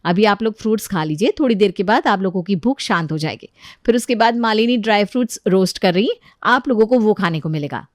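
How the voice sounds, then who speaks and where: A woman voicing a weary, practical elder sister-in-law in an animated family story, wry and complaining. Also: medium pitch, fairly quick, no echo, clean studio sound, lightly acted. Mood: firm.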